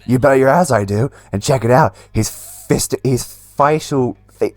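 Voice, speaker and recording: A man's voice: mid-range voice, unhurried, darkish tone, no room sound, natural voice. Brash young demon, acted by a man, boastful game dialogue, mid-range voice, music underneath.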